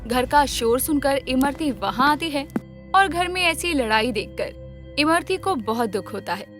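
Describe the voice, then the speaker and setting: High-voiced woman narrating a story. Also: animated, measured pace, a bit bright, soft background music.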